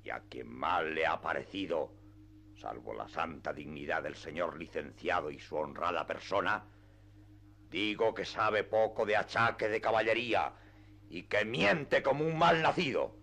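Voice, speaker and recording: An actor playing a proud, indignant knight-errant in a radio play, declaiming in grandiloquent archaic Spanish. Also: male voice, low voice, expressive, unhurried, gravelly, sharp tone, exaggerated voice. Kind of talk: classic radio theatre acting.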